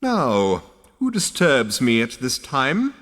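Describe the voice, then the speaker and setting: A man voicing a tiny, ancient two-headed male ghost who snaps and scolds in a rasping, screechy voice. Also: mid-range voice, huge pitch swings, slow, a bit bright, big-room sound, voice pushed up, heavily acted. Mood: querulous. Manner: snappish, disdainful scolding.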